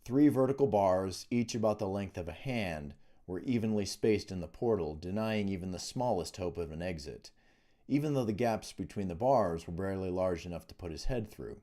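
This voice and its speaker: A man's voice: deep voice, expressive, moderate pace, mellow. A man reading a fantasy novel aloud as scripted audiobook narration, in the role of the author. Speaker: author reading own book.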